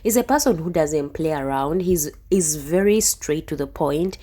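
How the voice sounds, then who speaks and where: A woman's voice: low voice, lively intonation, normal speed, mellow. A young woman hosting a reaction video, talking earnestly and emphatically and often repeating herself. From Uganda. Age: young adult. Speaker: YouTube reaction host. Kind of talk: casual reaction commentary.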